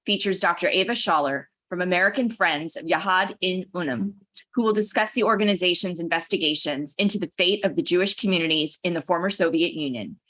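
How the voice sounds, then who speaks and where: A woman hosting an online talk, reading a measured, formal introduction from a script. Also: on the low side, bright, forceful tone. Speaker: center director introducing a speaker. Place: online webinar.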